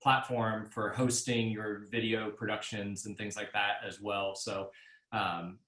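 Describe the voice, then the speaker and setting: A man's voice: on the low side, level tone, unhurried, bright, forceful tone. A man in his thirties casually hosting an online session, recorded on a computer microphone in a room at home. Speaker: session moderator. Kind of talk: casual video-call hosting. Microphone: computer microphone at desk distance on video call. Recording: roomy, echoey video-call sound.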